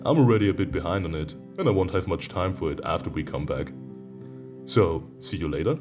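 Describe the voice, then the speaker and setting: Very deep-voiced, animated man over music. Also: a little fast. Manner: expressive, teasing, exclaiming. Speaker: let's-play host. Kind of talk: reading a visual novel aloud with playful reactions. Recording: music behind the voice.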